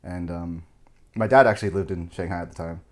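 A man's voice: low voice, fairly even intonation, fairly quick. A young American man chatting as a podcast guest, rambling and full of filler words, with a chuckle here and there. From United States. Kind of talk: casual chat.

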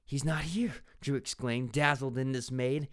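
A man's voice: medium pitch, fairly even intonation, unhurried. A young man reading a children's mystery book aloud in a mocking, dramatic way, breaking off to make sarcastic comments. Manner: sarcastic, laughing at the text.

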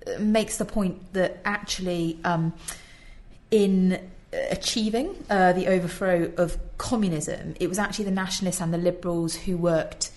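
A woman's voice: on the low side, steady tone, moderate pace, a little mellow. A woman hosting a discussion podcast, sounding nasal from a head cold and talking conversationally with fillers. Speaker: podcast host. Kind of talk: casual discussion.

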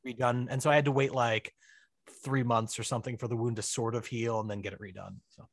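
A man chatting as an interview guest, wry and self-deprecating, often halting as he explains things. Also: mid-range voice, steady tone, vocal fry, no room sound. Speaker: podcast guest, writer and director. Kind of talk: casual conversational interview.

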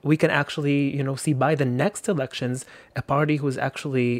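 A man's voice: on the high side, fairly even intonation, fast, dry recording. Hesitant man. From Lebanon. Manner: hesitant, many fillers. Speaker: guest. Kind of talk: casual discussion.

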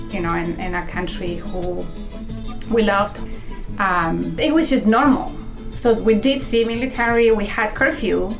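A woman from Chile, telling her life story as a medical interpreter in a reflective way, with many ums and restarts. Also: mid-range voice.

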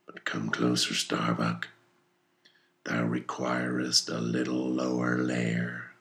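An obsessed old sea captain, extremely deep and creaking. He speaks slowly in grand, archaic phrases, commanding and defiant, as in a dramatic audiobook. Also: monotone, fairly bright tone, heavily acted. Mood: brooding, coaxing. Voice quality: gravelly. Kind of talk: dramatic audiobook character reading.

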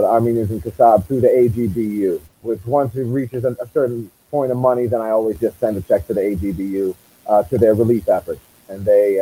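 A man with a Boston accent speaks as a musician being interviewed. His voice is very dark and relaxed, with no edge to it, and he talks conversationally at a middling pitch. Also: husky, low-quality recording. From Massachusetts, USA. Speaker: musician guest.

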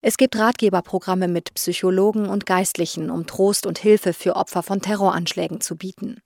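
A woman narrating a scripted radio report in an even, clear reading voice. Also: mid-range voice, no echo, clean studio sound.

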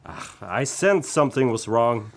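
A man's voice: medium pitch, expressive, measured pace. Man acting a melodramatic band poet, swooping voice. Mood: self-important. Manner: dramatic, stumbling over script. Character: melodramatic young band member, self-styled poet. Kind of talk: amateur radio play cold read.

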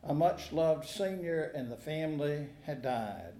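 A man preaching a sermon, reading a gospel passage and then telling stories in a measured, earnest way. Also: on the high side, lively intonation, dark tone. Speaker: pastor. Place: church sanctuary. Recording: echoey church.

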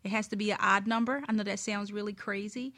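A woman's voice: medium pitch, fairly even intonation, quick pace, fairly bright tone. A woman speaking as a guest expert, giving conversational advice in a frank, emphatic way.

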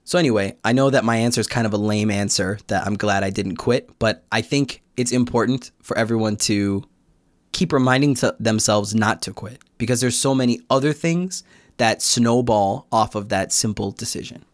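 A man's voice: fairly low pitch, moderate pace, no echo, studio quality. A young American man talking reflectively as a podcast guest, explaining his thoughts in a casual conversational way. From United States. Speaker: podcast guest, Korean learner.

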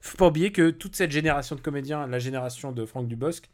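A man's voice: fairly high pitch, quick pace. Opinionated man rambling on comedy. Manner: opinionated, talks in long rambling stretches. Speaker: podcast guest, comedy film critic. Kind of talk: casual podcast discussion.

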